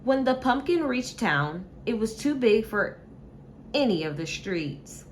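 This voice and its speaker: A woman reading a children's story aloud with measured, expressive delivery, like a teacher. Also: a little high, slight background noise.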